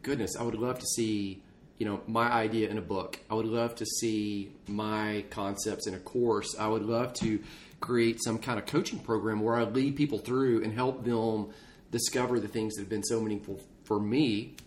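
Folksy man co-hosting a podcast over background noise. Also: lowish voice. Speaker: podcast co-host. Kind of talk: casual unscripted podcast chat. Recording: clear background noise, ambience.

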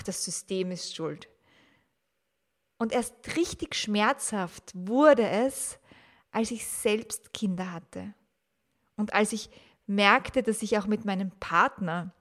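Woman host, 40s, unhurried reflective storyteller, solo podcast. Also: medium pitch. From grew up in the 1980s and 1990s. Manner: calm, personal storytelling with rhetorical questions. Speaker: podcast host, teacher and mother.

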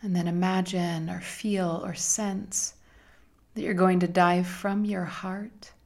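A woman leading a guided meditation in a soft, gentle, soothing manner with long pauses and breathy phrase endings. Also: on the low side, flat delivery.

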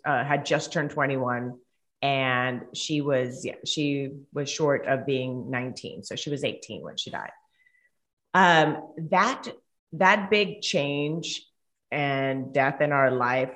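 A woman's voice: deep voice, a bit bright. A middle-aged woman from Colombia with a Colombian Spanish accent, a native Spanish speaker, talking reflectively as a podcast guest. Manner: reflective, with frequent restarts and laughs. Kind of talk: conversational interview.

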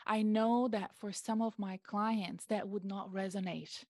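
A woman career coach speaking as a guest in a reflective conversation, with a calm, measured voice. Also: medium pitch, no echo, clean studio sound. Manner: measured, thoughtful, unhurried.

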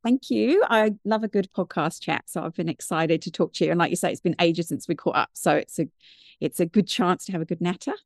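A British woman in her fifties from the UK, a guest telling her story in a chatty, animated, digressive way. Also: fairly low pitch, a little fast, gravelly, no echo, studio quality. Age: 50s. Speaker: guest, mindfulness business founder. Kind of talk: conversational interview storytelling.

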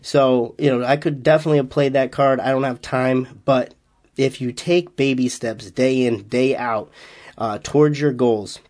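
A man with a light Massachusetts accent talks alone into a podcast mic, upbeat and easygoing. His voice sits at an ordinary middle pitch, and he wanders from point to point with plenty of "uh" and "you know" along the way. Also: steady tone, moderate pace, a little mellow. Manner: upbeat, rambling, many "uh" fillers. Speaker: strength coach and podcast host. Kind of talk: casual solo podcast talk.

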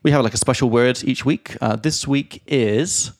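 A man hosting a casual podcast, explaining English grammar in a lively way and reading out example sentences. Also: mid-range voice, normal speed, no echo, clean studio sound. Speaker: podcast host and English teacher.

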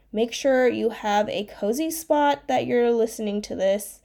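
A woman's voice: on the high side, a little mellow. A young woman hosting her own podcast, chatting casually and rambling, her voice nasal from a cold. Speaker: podcast host, life coach. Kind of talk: casual solo podcast chat.

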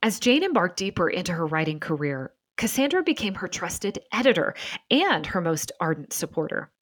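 A woman's voice: fairly low pitch, very animated, moderate pace, no room sound, clean studio sound. A woman hosting a podcast, speaking as a presenter in narration and interview. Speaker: podcast host. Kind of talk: scripted narration and interview talk.

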